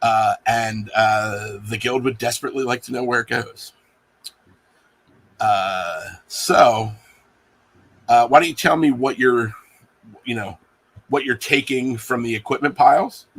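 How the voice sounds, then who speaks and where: A man acting as game master, improvising narration for a tabletop game in a halting way with many pauses. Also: mid-range voice, fairly bright tone, husky, poor audio.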